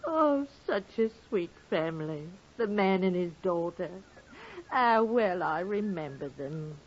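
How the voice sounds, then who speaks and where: A low-voiced, unhurried country wife from an old radio play, folksy and gossipy. She is dramatic and sweetly indignant, heard through a muffled vintage recording. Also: lively intonation. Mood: wistful, sighing. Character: talkative rustic English wife, wronged, sweetly indignant. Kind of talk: 1950s radio drama acting.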